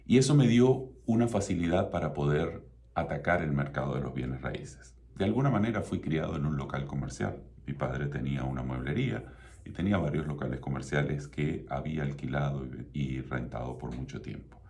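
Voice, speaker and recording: A middle-aged Venezuelan man with an extremely deep voice hosts his own radio show. He speaks with a Venezuelan Spanish accent in a measured, promotional way. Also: lively intonation, mellow. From Venezuela (Mérida). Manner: measured, promotional, repeats contact details. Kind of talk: scripted radio talk show monologue.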